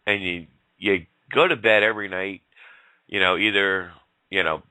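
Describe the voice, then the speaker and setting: A male host of a casual call-in talk show, rambling in a folksy way and getting hoarse. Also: sits low, steady tone, normal speed, sharp tone, no room sound, rough recording. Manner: rambling, folksy, laughs often.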